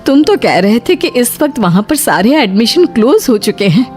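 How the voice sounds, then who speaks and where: A woman's voice: on the high side, sing-song, normal speed, a little mellow, faint music behind. A woman playing a worried, protective middle-aged mother in a cartoon story, fussing and cautioning. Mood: wary. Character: Sushila, worried protective mother of grown son. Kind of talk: dramatised Hindi cartoon story dialogue.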